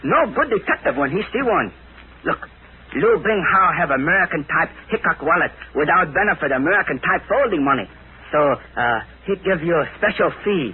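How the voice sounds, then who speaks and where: A high, swooping voice on a tinny old radio recording: a man acting a comic Chinese hotel detective, boastful and eager, with a stage Chinese accent and clipped broken English. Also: expressive, a little fast, slight background noise, natural voice. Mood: wheedling.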